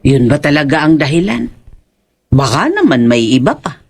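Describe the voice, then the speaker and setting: A woman's voice: low voice, very animated, moderate pace, slightly rough, exaggerated voice. Insistent older aunt played by a woman. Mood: probing. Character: suspicious, persistent older aunt confronting a neighbour. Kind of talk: Filipino audio horror drama acting.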